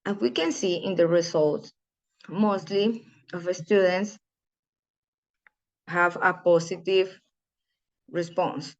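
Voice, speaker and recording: Low-voiced woman presenting, dull recording. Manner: halting, restarts words. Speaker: conference presenter. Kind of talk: academic presentation.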